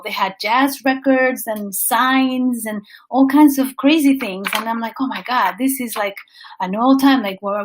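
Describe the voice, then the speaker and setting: Lively Venezuelan-born woman telling a road story. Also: on the high side, low-quality recording. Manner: animated, restarts phrases, briefly sings place names. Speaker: vlogger and coach. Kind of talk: casual storytelling livestream.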